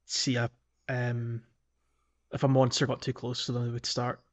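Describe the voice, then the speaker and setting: A man's voice: medium pitch, monotone, normal speed. A man co-hosting a gaming podcast in casual conversation, jokey and given to chuckling. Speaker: podcast co-host.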